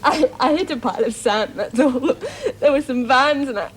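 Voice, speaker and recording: A high, tremulous actress as a young-sounding woman scientist, fragile and frightened. Her phrases come haltingly, in seventies TV drama style. Faint hiss sits on the old soundtrack. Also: lightly acted. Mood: upset, defensive. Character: distressed, frightened female scientist, fragile and sensitive. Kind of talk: 1970s television drama acting. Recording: hissy old television soundtrack.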